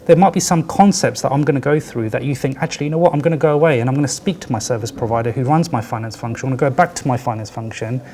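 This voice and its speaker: A man with a quiet voice giving a conference talk as a finance chief, conversational and peppered with "right?" asides. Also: medium pitch, rapid-fire, hardly any pauses. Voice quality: soft, quiet voice. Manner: conversational, tag questions like "right?" and "yeah?". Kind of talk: conference presentation. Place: conference venue with audience.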